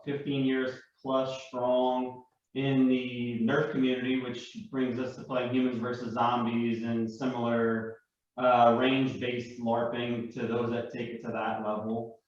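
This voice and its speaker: A man's voice: medium pitch, completely flat delivery, unhurried. A man talking casually as a guest on a panel. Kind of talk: casual self-introduction. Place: indoor room shared with others. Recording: very echoey, distant, dull.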